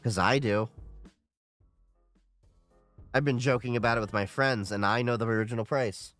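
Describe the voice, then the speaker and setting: A man's voice: mid-range voice, normal speed, fairly bright tone, no room sound, faint music behind. A man hosting a casual livestream, reading chat questions aloud and giving opinionated answers.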